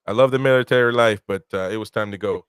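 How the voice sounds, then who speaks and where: A man's voice: fairly low pitch, quick pace, a bit bright, smooth, no echo, clean studio sound. A Peruvian-born man hosting a casual football watch-along live stream, chatting loosely and reading out viewer comments. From Peru. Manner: laid-back, chatty, reads out viewer comments.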